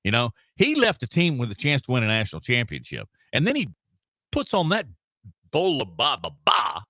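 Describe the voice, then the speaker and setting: A man's voice: mid-range voice, wildly swooping pitch, moderate pace, bright, forceful tone, no room sound. A Southern man co-hosting a sports talk show in a studio, indignant and mocking, laughing often. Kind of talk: casual chat. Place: radio studio.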